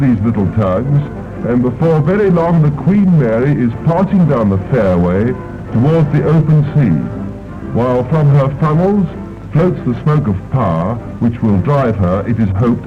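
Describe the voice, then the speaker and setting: A man's voice: mid-range voice, very animated, moderate pace. A man giving stately, ceremonious 1930s newsreel narration. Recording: tinny old film soundtrack with music.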